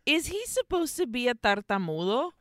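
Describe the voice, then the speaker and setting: A woman co-hosting a podcast in casual, lively chat, dropping in Spanish phrases. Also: a little high, normal speed, dry recording, studio quality. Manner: lively, mixes in Spanish phrases, laughs often. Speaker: podcast co-host.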